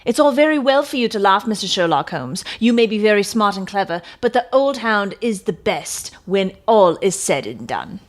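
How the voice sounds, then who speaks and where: A woman's voice: mid-range voice, very animated, normal speed, hardly any pauses, lightly acted. A woman playing a pompous, self-satisfied little police detective, boastful and emphatic, in a dramatic Victorian detective story reading. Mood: indignant, boastful.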